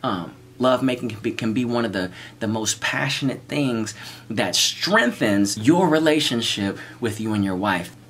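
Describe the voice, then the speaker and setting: Man in his 30s, playful vlogger, close camera mic, very lively pitch. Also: measured pace, a little hiss or hum. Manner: animated, playful, jokes and repeats lines. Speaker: vlogger giving marriage tips. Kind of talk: casual to-camera chat. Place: small bright room indoors. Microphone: camera in front of him at close range.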